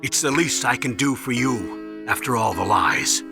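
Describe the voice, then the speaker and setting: A man's voice: medium pitch, animated, a little slow, creaky, music in the background. A man playing an earnest, guilt-ridden American military commander in a video game, speaking like an officer giving a briefing. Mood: resolute, regretful.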